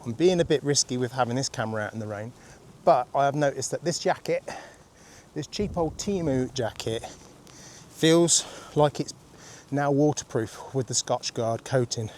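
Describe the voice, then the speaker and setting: A man in his fifties, throaty and husky from a cold, chatting casually and rambling with easy laughs as he vlogs on a rainy countryside walk. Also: mid-range voice, normal speed, darkish tone, a little hiss or hum. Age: 50s. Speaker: vlogger. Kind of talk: casual walking vlog.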